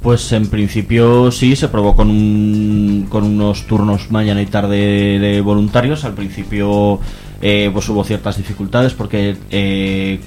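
A man's voice: lowish voice, little pitch movement, unhurried, darkish tone, faint music behind. A man with an accent from Spain explaining things as an activist guest in a radio interview, in a hesitant, careful way. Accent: Spanish from Spain.

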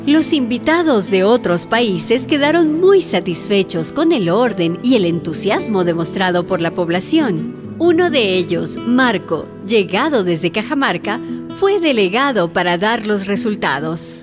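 A woman narrates a scripted radio story. Her voice has an average pitch for a woman, and music plays clearly behind it. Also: big pitch swings, measured pace.

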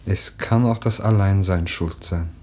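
A melancholy, tender widower of noble birth in a radio play. His voice is low and grave. He speaks earnestly and deliberately, over light background noise. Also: a little mellow. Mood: urgent, searching. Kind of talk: German literary radio play acting.

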